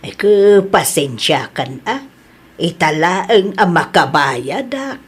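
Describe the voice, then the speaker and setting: A woman playing a proud, stubborn wife in an Ilocano family comedy drama, with a husky, emphatic delivery. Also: on the low side, expressive, slow pace, heavily acted. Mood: conciliatory. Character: proud, stubborn, debt-dodging wife who softens.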